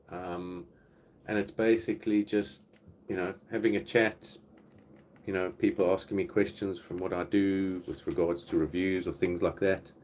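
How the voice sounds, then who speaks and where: A South African man with a very low voice hosts a relaxed livestream chat from home. He rambles easily between topics and reads out comments from the viewers. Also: a little hiss or hum. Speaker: livestream host. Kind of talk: casual live Q&A chat. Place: home, indoors.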